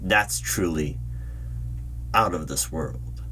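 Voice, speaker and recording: A man with a low voice plays a polite but secretive government hiring manager in a spoken ASMR roleplay. He talks formally and deliberately, leaving pauses. A clear hum and the clatter of computer keyboard typing sit behind him. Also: pushed and bright. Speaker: roleplay video creator playing an interviewer. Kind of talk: ASMR roleplay, spoken rather than whispered.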